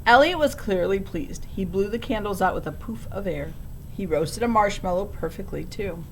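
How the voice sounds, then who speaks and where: A woman's voice: expressive, normal speed, rough recording. A woman reading a children's storybook aloud as narrator. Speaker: audiobook reader. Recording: low rumbling background noise.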